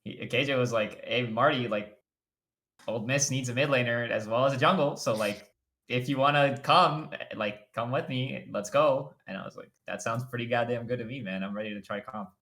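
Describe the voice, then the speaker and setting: A man's voice: medium pitch, normal speed. Young guy gamer on a webcam call, gets all hyped telling stories. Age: young adult. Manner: animated, excitable storyteller. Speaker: college esports player guest. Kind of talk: casual chat.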